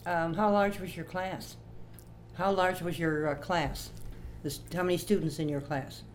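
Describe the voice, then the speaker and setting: A woman's voice: on the low side, fairly even intonation, normal speed, slight background noise. A woman conducting an oral history interview as a librarian, prompting with simple questions, recorded in a home.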